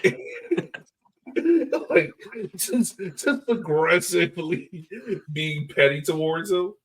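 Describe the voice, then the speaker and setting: A man's voice: fairly high pitch, big pitch swings, takes it slowly. A man hosting a casual soccer podcast in a playful, joking way, a little distant from the microphone. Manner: playful, laughs often. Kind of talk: casual chat, joking sports talk.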